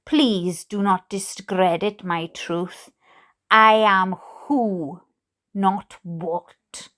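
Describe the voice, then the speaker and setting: A Welsh woman reading aloud casually with chatty, jokey asides to her online audience, in an expressive, self-deprecating way. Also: mid-range voice, very slow. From Wales. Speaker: YouTube host reading letters aloud.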